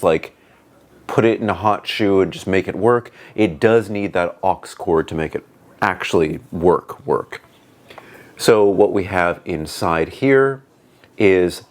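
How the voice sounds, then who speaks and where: A man with a booming voice giving a casual, rambling product review on a clip-on wireless mic, with lots of "like" and "um". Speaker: tech reviewer. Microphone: wireless clip-on lapel mic.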